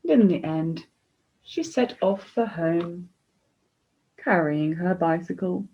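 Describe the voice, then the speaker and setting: A woman's voice: lowish voice, slow, slightly rough. A woman storyteller reading a children's story expressively, in a slightly dull, lo-fi recording.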